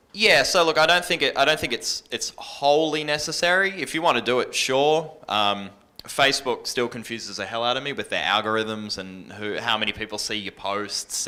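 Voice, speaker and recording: A man's voice: fairly low pitch, sharp tone. A man with an Australian accent giving casual, informal advice as a radio presenter on a workshop panel. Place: live panel workshop indoors.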